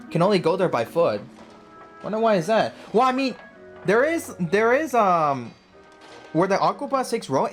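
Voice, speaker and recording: A woman hosts a gaming video with casual, reactive commentary. Her low voice swings up and down a lot as she thinks aloud haltingly, over faint game music.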